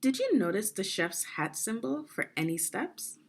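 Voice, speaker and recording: A woman reading aloud as a teacher for young children, explaining things deliberately and checking in with questions. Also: medium pitch, big pitch swings.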